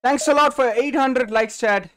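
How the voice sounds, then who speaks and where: A man's voice: very high-pitched, moderate pace, a bit bright, a little hiss or hum. A nineteen-year-old man with an Indian English accent, casually chatting with viewers while streaming a football game and reading their messages aloud. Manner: chatty, answers chat questions directly. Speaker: gaming streamer.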